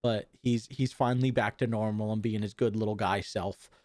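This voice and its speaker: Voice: medium pitch, steady tone, normal speed, a little mellow. A co-host chatting casually and giving supportive, agreeing replies. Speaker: book club stream co-host. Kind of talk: casual chat.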